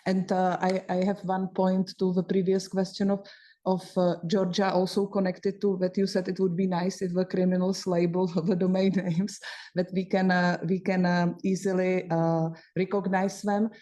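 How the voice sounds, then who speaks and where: Woman, panel moderator, flat low voice, mellow, measured. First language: Czech. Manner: measured, steering the discussion. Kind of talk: panel discussion.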